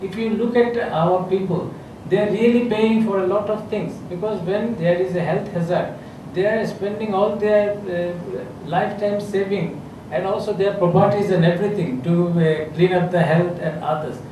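A man's voice: high-pitched, steady tone, moderate pace, few pauses. A middle-aged man with a Bangladeshi English accent, a health official giving unscripted panel remarks at a podium, hesitant, with many fillers and restarts. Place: conference room with a seated audience. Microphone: podium microphone. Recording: echoey room, voice from a distance, clear background noise.

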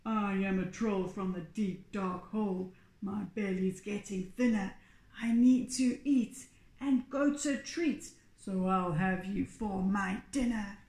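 A woman voicing a big hairy, hungry male bridge troll in a storybook, roaring and chanting drawn-out threats. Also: medium pitch, steady tone, reverberant, pitched below the performer's own voice. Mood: menacing.

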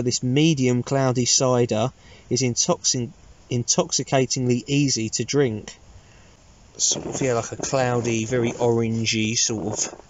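A man in his thirties with an English accent, chatting casually to camera in a low-key, rambling, informal way as an outdoor vlogger. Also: muffled recording. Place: inside a small tarp shelter outdoors at night.

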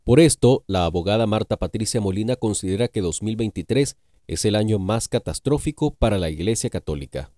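A man reading scripted news narration in a formal, measured way. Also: fairly low pitch, animated, mellow, no room sound.

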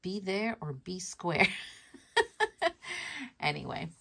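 A woman's voice: fairly low pitch, slow, creaky, pushed and bright, breathy edge. Chatty woman, Spanish her first language. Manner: chatty, repeats herself, laughs at herself. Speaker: worm composting channel host. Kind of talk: casual show-and-tell vlog. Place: indoor room at home with worm bin.

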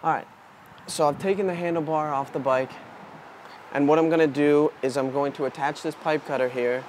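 A man's voice: on the high side, normal speed. A man in his twenties giving a casual, unscripted how-to demonstration while working with his hands. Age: 20s. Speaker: DIY tutorial presenter. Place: outdoors on a wooden porch. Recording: outdoor camera audio with steady background noise.

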